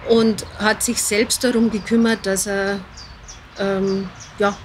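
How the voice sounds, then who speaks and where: A woman in an interview, recalling things in a halting, spontaneous way. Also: mid-range voice, little pitch movement, background noise.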